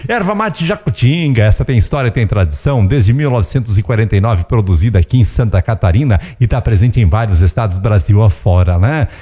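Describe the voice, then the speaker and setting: Chatty radio host. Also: male voice, on the low side, lively intonation, brisk, few pauses, dark tone. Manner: chatty, keeps tagging questions to listeners. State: voice strained, close to giving out. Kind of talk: casual radio chat with ads and song requests.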